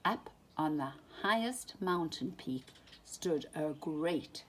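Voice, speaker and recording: A woman reading a children's story aloud in an animated, dramatic way, often dropping into hushed tones. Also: low-pitched, takes it slowly, a little hiss or hum, low-quality recording. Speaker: storybook reader.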